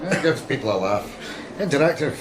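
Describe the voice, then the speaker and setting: A man's voice: mid-range voice, big pitch swings, brisk, background noise, lightly acted. A man with a Scottish accent playing a genial, laconic exhibition attendant in a radio drama. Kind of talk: naturalistic radio drama acting.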